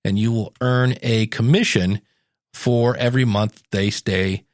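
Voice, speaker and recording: A man's voice: fairly low pitch, fairly even intonation, a little slow, no room sound, studio quality. A man hosting a podcast in a casual solo monologue, talking directly to his listeners in a conversational way. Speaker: podcast host and podcasting coach.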